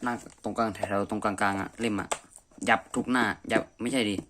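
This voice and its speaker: A man's voice: on the low side, fairly even intonation, fairly quick. Casual man, noisy home recording. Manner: informal, thinking aloud. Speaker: amateur manga reviewer. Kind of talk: casual unscripted review chat. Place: messy room indoors.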